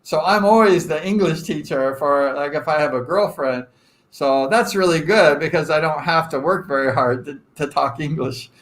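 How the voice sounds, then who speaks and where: A man's voice: fairly high pitch, moderate pace, a bit bright. A man around sixty from Southern California, hosting a casual live chat with viewers in a rambling, joking way with lots of fillers. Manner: rambling and chatty, self-deprecating joking, frequent fillers. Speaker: live-stream host.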